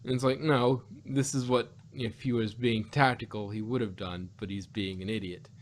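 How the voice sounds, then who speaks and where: Guy on a review podcast, average pitch, animated and argumentative, keeps doubling back on his words. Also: moderate pace, a little hiss or hum. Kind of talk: casual review chat about an audio drama.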